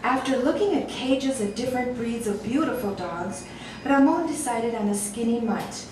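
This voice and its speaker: A woman's voice: fairly high pitch, animated, normal speed. A woman narrating a comic short story in a wry, amused way, often breaking into laughter. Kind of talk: audiobook story reading. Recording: echoey room, distant mic, noisy.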